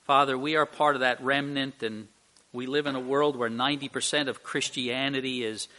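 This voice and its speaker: A man's voice: mid-range voice, fairly even intonation, moderate pace, pushed and bright, a little hiss or hum, rough recording. A man preaching an expository sermon as a pastor, speaking earnestly and emphatically, with repeated phrases and rhetorical questions.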